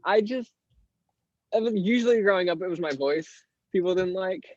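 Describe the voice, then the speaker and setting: An eighteen-year-old man with a higher-pitched voice, a guest on a podcast, talking candidly and rambling with lots of fillers. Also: normal speed, a little mellow, no echo, muffled recording. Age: teens. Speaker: guest artist. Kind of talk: casual interview chat.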